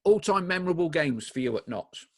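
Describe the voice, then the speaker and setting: A man's voice: a little high, animated, fairly quick. A man hosting a podcast, asking questions with tag endings and stumbling restarts. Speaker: podcast host. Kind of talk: interviewing, casual.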